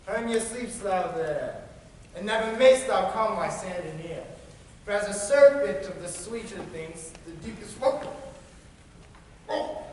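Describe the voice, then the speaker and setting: A passionate young lover, played by a man declaims stage verse in a fairly high voice, getting louder and softer. He is recorded from far off in an echoey hall with long reverb. Faint noise is heard behind him. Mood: scornful. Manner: declaiming verse with swings of volume. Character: ardent young male lover, fickle and passionate. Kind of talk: stage play performance.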